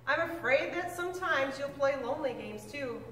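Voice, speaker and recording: A woman reading a picture book aloud with lively, expressive delivery, now and then stumbling and correcting herself. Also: moderate pace, slight background noise. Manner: expressive storybook reading with big pitch swings. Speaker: reader. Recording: echoey room, mic at a distance.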